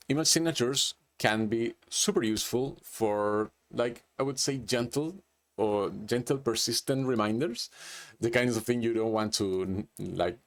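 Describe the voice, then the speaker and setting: Hesitant man with a Chilean accent. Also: fairly low pitch. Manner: hesitant, with many restarts and "like". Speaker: presenter. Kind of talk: unscripted business presentation.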